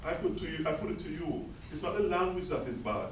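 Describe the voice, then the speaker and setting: A man's voice: fairly high pitch, lively intonation, fast, noisy background. Man preaching in a distant echoey hall. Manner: rhetorical, asks the audience questions. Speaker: Bible Society speaker. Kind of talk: preaching-style speech. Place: large echoey reception hall. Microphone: distant room mic.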